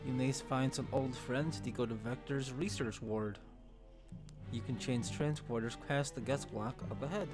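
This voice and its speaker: Casual let's player over loud game music. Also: mid-range voice, moderate pace. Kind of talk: casual gameplay commentary, reading on-screen text aloud.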